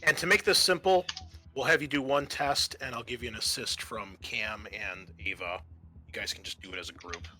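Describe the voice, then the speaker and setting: A man working as game master, narrating a roleplaying session in a halting, conversational way. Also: mid-range voice, pushed and bright, soft background music.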